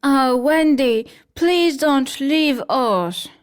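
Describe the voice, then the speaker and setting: Woman reading as young storybook boys, high, eager and pleading. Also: animated, extremely slow, exaggerated voice.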